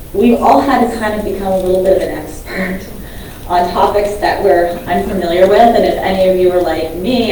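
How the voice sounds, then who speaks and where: Woman, awards presenter at a banquet, loud and chatty, distant in a booming room. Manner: loud, chatty asides between announcements. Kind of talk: reading award winners at a banquet. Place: large banquet hall. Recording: echoey hall from far off, noisy.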